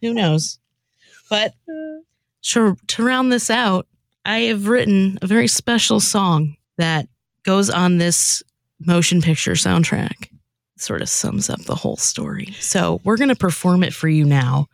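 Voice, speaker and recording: A woman's voice: medium pitch, a little slow, vocal fry, no echo, studio quality. A woman hosting in a casual, rambling, self-effacing way, chatting with her fellow performers. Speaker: host and writer of the radio play.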